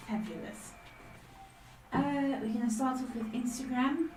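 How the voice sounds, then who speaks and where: A woman's voice: high-pitched, unhurried, darkish tone, faint music behind. A woman co-host chatting casually and reading out viewer messages. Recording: distant mic, echoey room, faint noise.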